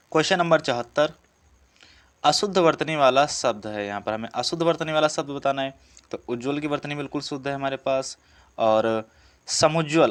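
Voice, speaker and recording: A man teaching through exam practice questions, explaining step by step and repeating key words. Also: mid-range voice. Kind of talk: instructional walkthrough of quiz questions.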